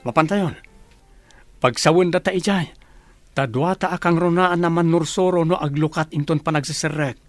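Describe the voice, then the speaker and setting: A man's voice: on the high side, normal speed, no room sound, soft background music, natural voice. A man playing an earnest, friendly village leader and family man in a radio drama, with an Ilocano accent. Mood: pleased.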